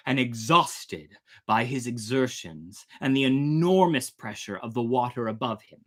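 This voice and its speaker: A storyteller reading a tale aloud dramatically, theatrically swelling and hushing. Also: medium pitch. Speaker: storyteller, host.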